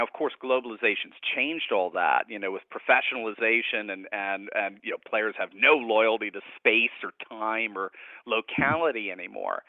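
Heard over a thin, phone-like line with no room echo, a man talks as a scholarly radio guest. He explains his ideas conversationally, in a reflective and academic manner. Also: lowish voice. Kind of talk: conversational analysis in a radio interview. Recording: narrow, tinny phone-like line.

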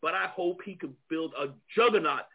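A man's voice: sits high, fairly quick, gravelly. A man with a New York accent, a guest in a panel discussion, who stumbles and repeats words as he searches for them and chuckles as he talks. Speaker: guest speaker. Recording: tinny phone-like online call audio.

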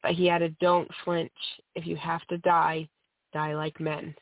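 A host with a cold, half-losing their voice, reads a religious text aloud with small stumbles and fillers. The voice has a gravelly, frayed edge. The sound is tinny and dry, like a phone line. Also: mid-range voice, little pitch movement, unhurried, no room sound. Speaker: host reading aloud on a call-in program.

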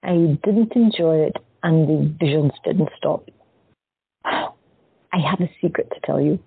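A woman playing a shy, raspy visionary patient. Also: deep voice, takes it slowly, no echo, heavily acted. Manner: hesitant, wondering. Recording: dry, tinny phone-like audio.

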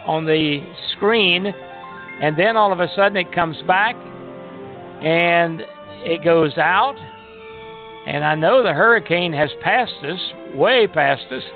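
Creaky-voiced man hosting over a phone-like line. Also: on the high side. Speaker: radio show host. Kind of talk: casual live radio chat. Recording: tinny phone-like line, music behind.

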